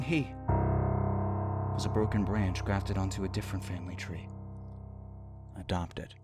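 A man giving a dramatic spoken-word poetry reading in an impassioned, theatrical and deliberate way. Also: on the high side. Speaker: poetry performer. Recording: musical score under the voice. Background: music.

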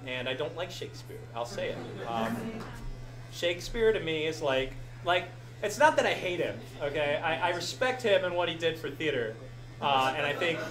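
A young man in his twenties hosting a live show, rambling and laughing often as he answers questions and thanks the crowd. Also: a little high. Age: 20s. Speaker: podcast host. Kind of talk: live show Q&A and farewell speech. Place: live show venue with audience. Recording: echoey room, loud background noise.

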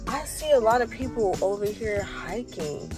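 A woman's voice: on the high side, lively intonation, normal speed, music in the background. A young woman in her twenties chatting casually to a phone camera from inside a car, thinking aloud about her plans. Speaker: vlogger. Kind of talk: casual vlog chat. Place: parked car. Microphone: phone camera at arm's length.

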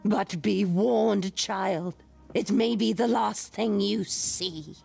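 A creaky, cryptic female alley dweller in a video game. She is aloof and ominous, like someone who trades in dark lore, with faint music underneath. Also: mid-range voice, slow pace, full character voice. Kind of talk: video game character acting.

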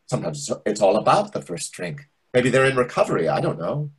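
A man's voice: mid-range voice, sing-song, fairly quick, lightly acted. A middle-aged man playing a chatty, nosy, self-important waiter in a comedy play reading, pompous and insinuating. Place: indoors on a video call. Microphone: video call microphone.

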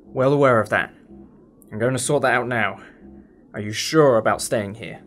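A man's voice: medium pitch, moderate pace, a bit bright, a little hiss or hum, close to an everyday voice. A man playing a decisive, protective time-traveller in a fan audio drama. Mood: firm.

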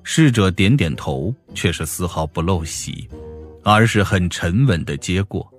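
A man's voice: sits low, expressive, a little slow. A man narrating a scripted audiobook story. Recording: music behind the voice.

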